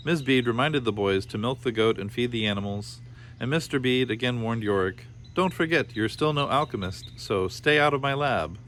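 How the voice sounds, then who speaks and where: A man's voice: mid-range voice, fairly bright tone. Man reading a storybook, noisy background. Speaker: storybook reader. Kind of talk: children's story read-aloud with playful asides. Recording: clear background noise behind the voice.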